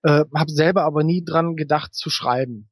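Middle-aged man, slightly high voice, dry recording. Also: moderate pace, no room sound. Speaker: author, interview guest. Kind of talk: conversational interview, anecdotal storytelling.

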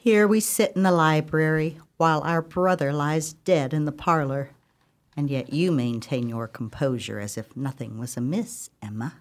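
A woman's voice: low-pitched, normal speed, lightly acted. A woman playing a stern, dutiful spinster sister in an old-fashioned gothic radio drama, solemn and formal in delivery. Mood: reproachful.

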